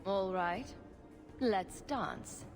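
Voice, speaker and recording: A woman's voice: mid-range voice, expressive, extremely slow, long reverb tail, soft background music. An actress playing a sarcastic, dry-witted female rogue hero in a video game. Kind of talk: video game cutscene acting.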